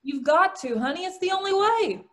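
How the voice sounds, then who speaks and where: A woman's voice: very high voice, lively intonation, normal speed, fairly bright tone. A woman playing a worldly, blunt, coaxing friend in a stage play table read. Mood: pleased, urging.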